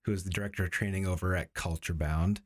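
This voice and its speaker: A man co-hosting a podcast, relaxed and casual, talking loosely with fillers. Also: low voice, fast. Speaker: podcast co-host.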